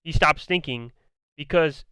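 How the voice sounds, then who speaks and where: A man delivers argumentative, profane commentary, hammering the same points again and again. His smooth voice sits somewhat high and has begun to turn hoarse. He was recorded up close with no room sound at all. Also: a little slow, sharp tone, no room sound. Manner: argumentative, blunt, profane, repeats himself. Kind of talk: ranting commentary on a debate.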